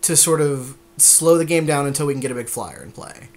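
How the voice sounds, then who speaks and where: A man's voice: on the high side, fairly quick, darkish tone. A man co-hosting a podcast, talking casually through card game strategy in a tentative, reasoning-aloud way. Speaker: podcast co-host.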